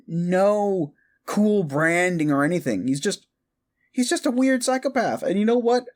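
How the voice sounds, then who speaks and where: A performer of unclear sex playing a mischievous, exasperated modern necromancer in a comedic audio roleplay, rambling casually and swearing with incredulity. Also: medium pitch. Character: mischievous, exasperated necromancer prankster, gleefully amoral.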